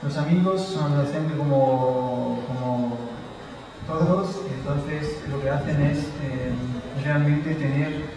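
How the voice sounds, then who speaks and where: A man's voice: fairly high pitch, steady tone, slow. Man speaking from afar. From Italy. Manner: explanatory, poses rhetorical questions. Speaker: speaker at a public talk. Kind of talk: informal public talk. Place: outdoors at a building entrance on a city square. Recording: roomy, distant, noisy, church bells.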